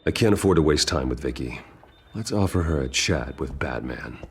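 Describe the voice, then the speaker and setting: An actor playing a stern, guarded American billionaire vigilante in a video game, speaking in a serious, clipped way. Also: male voice, rumbling low, expressive, normal speed, breathy edge, full character voice. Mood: businesslike.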